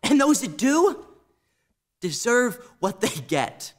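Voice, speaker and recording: High-pitched, grieving young son, acted by a man. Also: big pitch swings, slow pace, exaggerated voice. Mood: anguished, outraged. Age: teens to young adult. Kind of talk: dramatic stage monologue.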